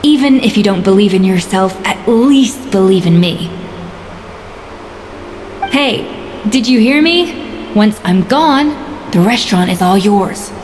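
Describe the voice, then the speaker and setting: A woman's voice: mid-range voice, expressive, measured pace, long pauses, a little mellow, slight background noise, natural voice. An actress voicing a proud, exacting young woman chef in a video game, articulate, earnest and assertive. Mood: firm, urging.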